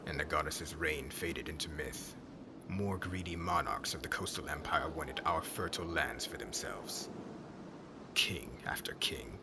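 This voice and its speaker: Man acting a deep-voiced, brooding witch hunter. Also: noisy background. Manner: cold, commanding, brooding. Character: stern, bitter male witch hunter, hateful toward witches. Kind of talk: ASMR roleplay acting.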